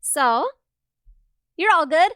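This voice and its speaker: A woman's voice: high-pitched, lively intonation, slow, fairly bright tone, no echo, studio quality. A young woman hosting a live soldering stream, bubbly and laughing often as she explains her work step by step.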